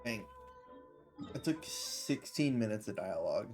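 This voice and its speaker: A man's voice: fairly low pitch, sing-song, a little slow, darkish tone, soft background music. A man giving casual gameplay commentary as a gaming video creator.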